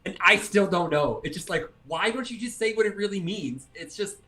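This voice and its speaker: A man's voice: sits high, animated, moderate pace, bright, forceful tone. A man joining a podcast as a guest, joking around and laughing in casual chat.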